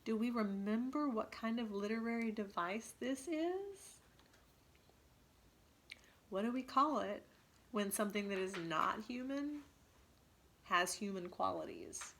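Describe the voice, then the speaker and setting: A woman teacher reading a story aloud expressively to students, pausing to ask them questions. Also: fairly high pitch, expressive, a bit bright, a little hiss or hum.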